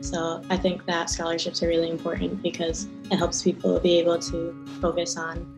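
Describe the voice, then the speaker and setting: A woman's voice: on the low side, monotone, normal speed. A woman student giving a casual testimonial over a video call. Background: guitar music.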